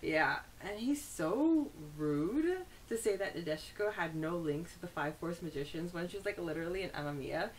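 A woman's voice: fairly low pitch, expressive, normal speed, few pauses, poor audio. A woman co-hosting a podcast, summarizing a story chapter and then discussing it in casual chat. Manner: summarizes plot steadily, then chats animatedly. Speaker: podcast co-host. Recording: faint hiss.

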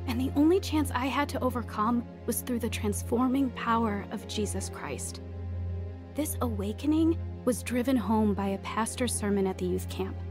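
A woman's voice: fairly high pitch, lightly acted. An actress playing a reflective young woman who narrates an audio drama in an earnest, confessional way. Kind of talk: scripted first-person drama narration. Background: music.

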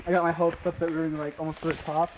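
A man's voice: on the high side, flat delivery, racing, a loud crowd. A young man chatting casually with blunt, slangy phrasing, recorded on a handheld camera outdoors on a trail. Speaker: hiking companion. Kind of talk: casual chat. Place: outdoors on a forest mountain trail. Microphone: handheld camera microphone. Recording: noisy outdoor camera audio, handling clicks.

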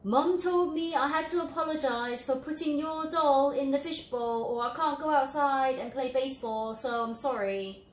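A woman's voice: high voice, moderate pace, hardly any pauses, pitched above the performer's own voice, lightly acted. A woman voicing a grudging young storybook raccoon with a reluctant, insincere manner. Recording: roomy echo, light background noise.

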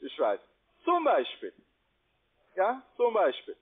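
A man with a foreign accent giving a pushy, repetitive phone sales pitch in halting non-native German. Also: huge pitch swings, slightly rough. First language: not German. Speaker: call centre investment salesman. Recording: tinny band-limited phone line.

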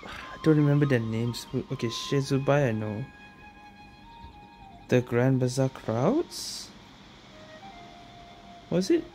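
A woman's voice: extremely low pitch, sing-song, slow pace, pauses often, dark tone, soft background music. A woman streaming a game, casually thinking aloud and muttering to herself as she plays. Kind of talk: casual gameplay commentary to self.

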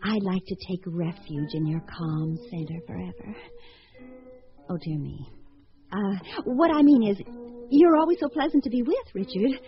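A woman's voice: mid-range voice, moderate pace, natural voice. A woman acting in a radio drama as a wry, fluttery entertainer who muses aloud about her failed proposals. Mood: flustered, coy. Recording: dull lo-fi radio sound, faint music.

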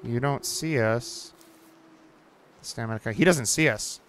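A young man giving casual, chatty commentary over a survival game, reacting out loud and swearing now and then. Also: medium pitch, unhurried. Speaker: gaming channel host. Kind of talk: casual let's-play commentary. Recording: faint game ambience behind.

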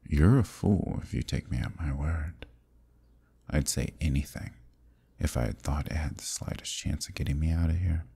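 A man acts out an ancient eldritch evil for an ASMR roleplay, with an extremely low voice full of gravelly creak and a dark, mellow tone. He is weary and wry, musing slowly in formal words as if long alone. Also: heavily acted. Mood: regretful, reflective. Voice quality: very deep, husky, gravelly, breathy. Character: ancient eldritch villain, male, weary, wryly teasing. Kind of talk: ASMR roleplay, intimate monologue.

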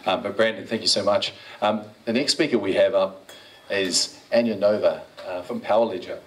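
A man with a New Zealand accent introducing speakers as event host, hurried and stumbling over names. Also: lowish voice, a bit bright, distant mic, poor audio.

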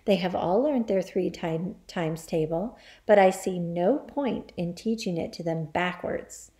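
Woman reading as a quiet, firm schoolteacher. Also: lowish voice, measured pace, close to an everyday voice. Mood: quietly firm. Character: gentle young woman teacher, quietly firm and polite. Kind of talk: children's storybook character reading.

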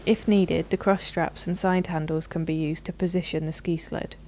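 A woman reads scripted instructional narration in a measured, formal, clearly enunciated manner. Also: lowish voice, steady tone, dark tone, a little hiss or hum. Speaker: narrator of a product training video.

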